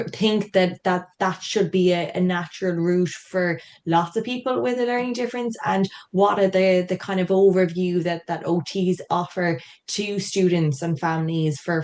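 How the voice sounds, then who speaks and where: A woman's voice: lowish voice, level tone, moderate pace, few pauses, a little mellow. A woman interviewing a guest, asking long, hedging questions and repeating words as she goes.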